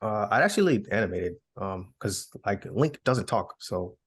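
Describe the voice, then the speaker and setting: A man's voice: on the low side, expressive, moderate pace, slightly rough. A man chatting casually as a co-host on a pop culture podcast.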